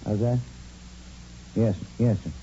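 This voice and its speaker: A low-key detective sidekick in an old radio police drama. His voice is very dark and lowish, polite and casual. The recording is dull and dated. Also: fairly even intonation, slow, slight background noise. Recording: muffled vintage radio recording.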